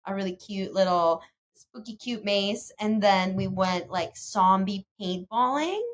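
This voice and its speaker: A woman's voice: mid-range voice, measured pace. Laid-back young woman, laughs easily. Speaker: podcast co-host. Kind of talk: casual chat.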